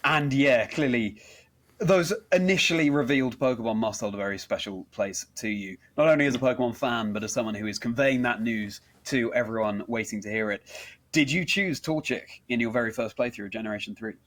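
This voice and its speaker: A man with a mid-pitched voice works as an interview host. He phrases things formally and eloquently, in a polished hosting style. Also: a little fast, fairly bright tone.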